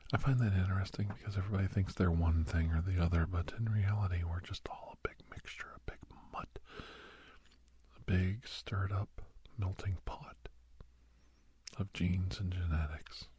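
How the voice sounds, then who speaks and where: A man with an American accent playing a kindly, gentle doctor who counsels a young werewolf in a breathy, whispered ASMR roleplay. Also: low-pitched, steady tone. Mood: reflective, confiding. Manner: gentle, reflective, slow and halting.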